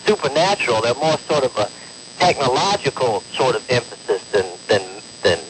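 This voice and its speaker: A man phoning in to a radio show, talking in a halting, hesitant and earnest-sounding way. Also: medium pitch, animated. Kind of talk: hesitant phone-in conversation. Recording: noisy radio phone call with clicks.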